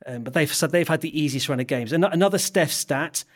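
Casting note: chatty male football podcast co-host, slightly higher voice, laughs a lot and restarts his sentences. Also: fairly quick. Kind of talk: casual football chat.

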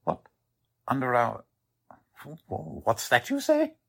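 A man acting a fussy, smug company man with a crooked side in a 1940s-style radio drama, his voice husky. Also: mid-range voice, wildly swooping pitch, takes it slowly, a bit bright, exaggerated voice. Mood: fussy, flustered. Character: fussy company man who is secretly a smug crime boss.

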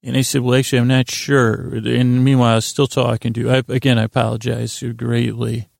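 A man with a gravelly voice hosting a bedtime podcast, rambling in a halting, repetitive way with frequent digressions and self-interruptions. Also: mid-range voice, little pitch movement, a little mellow, dry recording, studio quality. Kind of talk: rambling, meandering bedtime monologue.

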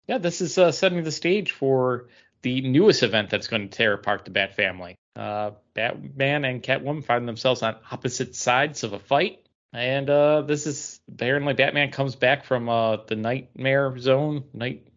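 A man's voice: mid-range voice, a bit bright. Casual man podcasting on dull lo-fi audio. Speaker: podcast co-host. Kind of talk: casual chat about comics and beer.

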